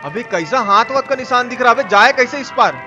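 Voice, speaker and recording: A man's voice: very high-pitched, lively intonation, fast, lo-fi sound. A man with a Bihari accent giving casual, slangy gameplay commentary as a live streamer, cursing and repeating himself as he chats with viewers. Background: game music.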